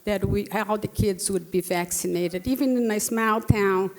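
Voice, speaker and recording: Slow-talking Brazilian-accented woman in her sixties. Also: medium pitch. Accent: Brazilian Portuguese. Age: 60s. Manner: earnest, with frequent fillers and restarts. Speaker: panelist speaker. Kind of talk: personal story in a talk. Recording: long hall reverb.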